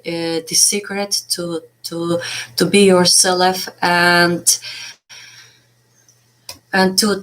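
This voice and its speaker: A woman in her forties with a Moldovan accent, a business coach talking unscripted to her channel, with many fillers and restarts. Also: mid-range voice. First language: Romanian and Russian. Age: 40s. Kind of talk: speaking to camera, unscripted.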